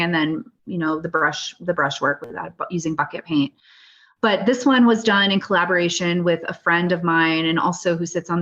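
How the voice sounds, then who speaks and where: Low-voiced woman speaking earnestly. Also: fairly bright tone, dull audio. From Standing Rock, US. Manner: earnest, thoughtful, with frequent restarts. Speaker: guest artist. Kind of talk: interview conversation. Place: Denver, US.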